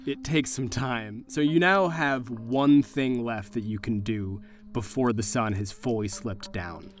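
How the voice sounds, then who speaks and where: A man's voice: medium pitch, a little slow. A man narrating a horror tabletop game as game master, in a slangy, conversational storytelling way.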